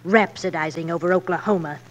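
A woman's voice: fairly low pitch, fairly quick, lightly acted. An actress playing a disapproving older mother in a 1940s radio drama, with a haughty, theatrical manner. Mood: scornful, appalled. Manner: scornful, theatrical.

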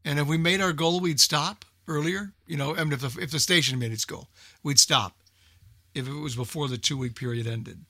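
Older American male for casual talk radio, emphatic and repetitive, forceful bright tone, close dry sound. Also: a little high, a little fast, no room sound. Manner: emphatic, repeats himself for emphasis. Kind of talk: casual group chat.

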